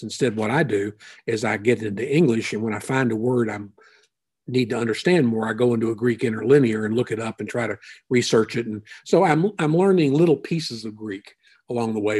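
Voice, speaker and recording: A man preaching a conversational sermon as a pastor, unhurried and thinking aloud with frequent asides. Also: medium pitch, a little mellow, husky.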